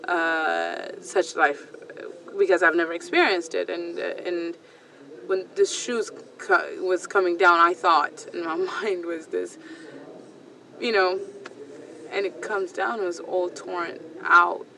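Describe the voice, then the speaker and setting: A woman's voice: fairly low pitch, fairly even intonation, takes it slowly, creaky, sharp tone, far from the mic, slight background noise, low-quality recording. A woman in her thirties from Ethiopia, telling a personal story hesitantly, with many fillers and restarts. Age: 30s. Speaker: storyteller sharing a personal account. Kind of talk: personal testimony. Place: small recording booth.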